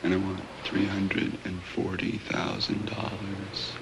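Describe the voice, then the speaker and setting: An actor playing a subdued male terrorist in a TV drama, flat and hesitant, on news-footage sound. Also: deep voice, slow, gravelly, background noise, full character voice.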